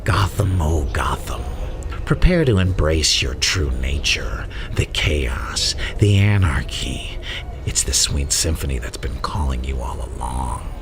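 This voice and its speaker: Very deep, gravelly whispering villain. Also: male voice, sing-song, slow pace, noisy background, exaggerated voice. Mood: grandiose menace. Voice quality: gravelly, breathy, often whispering. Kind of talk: ASMR villain roleplay monologue.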